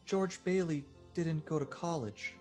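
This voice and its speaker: An actor playing a naive, curious angel in a radio drama. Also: male voice, fairly high pitch, measured pace, darkish tone, soft background music, close to an everyday voice.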